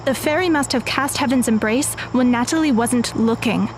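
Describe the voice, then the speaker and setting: A woman's voice: fairly high pitch, moderate pace, slight background noise, natural voice, rough recording. A woman playing a composed, guarded teenage magical girl in an audio drama, precise and matter-of-fact. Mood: firm, composed.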